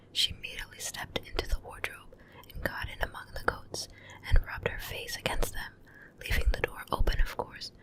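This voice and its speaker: Softly whispering woman reading a story. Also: extremely high pitch, poor audio. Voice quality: soft breathy whisper. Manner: hushed, gentle, unhurried bedtime-story delivery. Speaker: ASMR reader. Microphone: close ear-to-ear stereo microphone.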